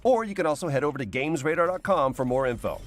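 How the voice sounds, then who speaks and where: A man's voice: on the high side, lively intonation, fast. A man presenting a showcase from a script.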